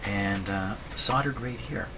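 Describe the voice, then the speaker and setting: Low-voiced man musing over noisy audio. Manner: halting, musing, talks to himself with questions. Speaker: radio repair hobbyist, host. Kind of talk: casual unscripted workbench explanation, thinking aloud. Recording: clearly noisy room recording.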